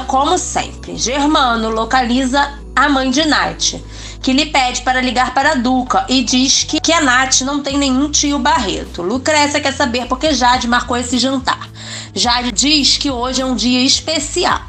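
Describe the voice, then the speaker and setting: A woman narrating soap opera plot recaps in an even, scripted reading. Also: sits high.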